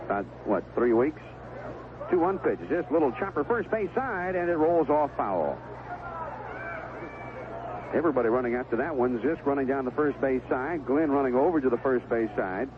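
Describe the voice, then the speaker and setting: An American male sportscaster calls a ballgame with a very lively, swooping delivery. He speaks in short, conversational phrases. The sound is a vintage radio broadcast, thin and phone-like, with clear noise behind him. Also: mid-range voice, moderate pace. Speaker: baseball play-by-play radio announcer. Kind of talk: live sports commentary. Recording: vintage 1970s AM radio broadcast, tinny, noisy.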